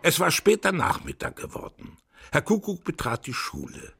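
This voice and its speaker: A man's voice: on the high side, wildly swooping pitch, moderate pace, gravelly, background noise. A man narrating a scripted children's radio story as the storyteller. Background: music.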